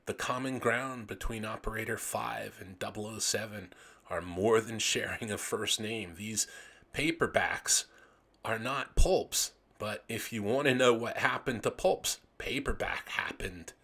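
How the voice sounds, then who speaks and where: A man with a gravelly, raspy voice narrating an illustrated commentary as host, with enthusiastic, dramatic emphasis on phrases. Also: on the low side, measured pace, pushed and bright. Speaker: host of a video series on vintage pulp magazines.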